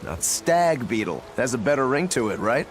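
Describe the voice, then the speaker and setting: Man playing an animated, breezy swordsman. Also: medium pitch, normal speed, slight background noise, close to an everyday voice. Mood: excited, argumentative. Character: easygoing, boyishly enthusiastic adult swordsman. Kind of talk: English video game voice acting.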